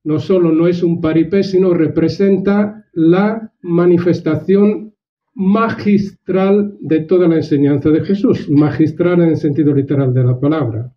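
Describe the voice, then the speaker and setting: A man giving a reflective book presentation, talking in a measured, earnest way with restarts and drawn-out words. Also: fairly high pitch. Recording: dull lo-fi recording.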